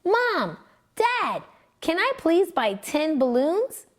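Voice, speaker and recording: A woman's voice: slow, heavily acted. A woman voicing an eager, curious young boy in a children's storybook reading. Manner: excited, eager, high-pitched child voice.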